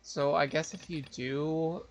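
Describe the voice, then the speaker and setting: A streamer thinking aloud in a casual, tentative way while playing a game. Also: male voice, fairly high pitch, fairly even intonation, a little hiss or hum. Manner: muttering to himself, unhurried and tentative. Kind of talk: casual gameplay commentary.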